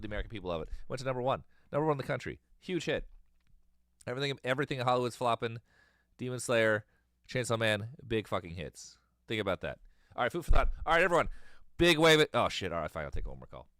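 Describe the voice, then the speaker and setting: A man in his thirties with a lowish voice rattles along at high speed, sliding freely up and down. He rambles, jokes and swears casually. The sound is close and dry. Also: fairly bright tone, no echo. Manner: rambling, profane, jokey. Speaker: musician hosting a call-in stream. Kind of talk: casual chat.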